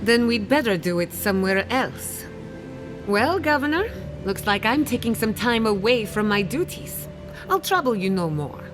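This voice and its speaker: Actress as an impassioned young woman scholar, animated. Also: medium pitch, moderate pace, music in the background, close to an everyday voice. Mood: hurt, defiant. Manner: impassioned, emphatic. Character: passionate, defiant young female animancer scholar. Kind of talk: video game voice acting.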